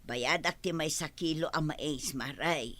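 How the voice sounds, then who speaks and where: An actress with an Ilocano accent playing a put-upon, quarrelsome village wife in an audio drama, given to exclaiming and complaining. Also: female voice, low-pitched, a little slow, a bit bright. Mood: complaining, chatty.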